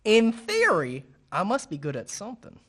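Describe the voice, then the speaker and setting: A man's voice: high voice, wildly swooping pitch, moderate pace, a bit bright, heavily acted. A man playing a naive, earnest marina worker in a radio play, guileless and eager.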